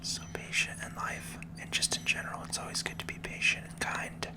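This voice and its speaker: A person whispering gentle, unhurried ASMR commentary while playing a video game. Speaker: gaming video host.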